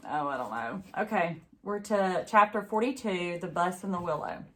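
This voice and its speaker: A woman with a slightly low voice reads a children's book aloud. She is expressive and teacherly, speaking as if to a class of young listeners. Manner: pauses to chat with young listeners.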